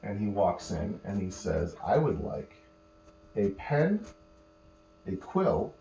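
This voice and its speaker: A man telling an improvised bedtime story to children, patiently explaining words and pausing mid-phrase as he goes. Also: on the low side, big pitch swings, highs cut off, a crowd in the background. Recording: roomy echo, faint electrical hum.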